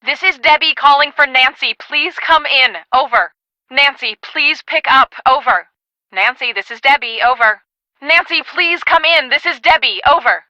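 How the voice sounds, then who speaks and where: A woman playing an earnest, take-charge storm-chasing project manager in a video game, speaking in a brisk, businesslike way. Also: high-pitched, pushed and bright, dry recording. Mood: anxious.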